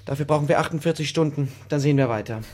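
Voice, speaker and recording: An actor playing a gruff, bullying police lieutenant who questions people curtly and sarcastically in a radio crime drama. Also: male voice, on the high side, racing, darkish tone, a little hiss or hum, lightly acted. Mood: smug, gruff. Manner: curt, sarcastic interrogating.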